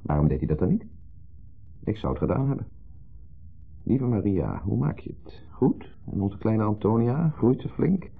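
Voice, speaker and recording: An actor playing an insecure, jealous husband in a 1970s radio play, hesitant, fretful and full of questions. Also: male voice, on the low side, sing-song, normal speed, gravelly, long pauses, husky, heavily acted. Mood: bitter, needling. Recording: muffled narrow-band 1970s radio recording.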